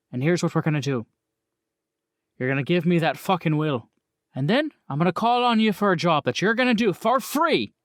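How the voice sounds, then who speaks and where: A man playing a gruff, foul-mouthed mob boss with a blunt, commanding, bargaining manner in a tabletop game, over faint music. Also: on the high side, no echo, clean studio sound, natural voice.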